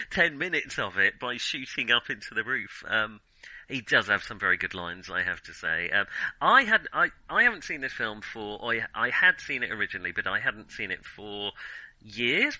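A middle-aged man in a casual unscripted film discussion as a podcast co-host, often halting and correcting himself mid-thought. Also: medium pitch, pushed and bright, dry recording, poor audio. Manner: halting, self-correcting, trailing restarts.

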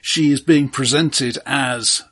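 Male film podcast co-host with a rough, husky voice, reflective and halting, in relaxed conversational discussion. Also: mid-range voice.

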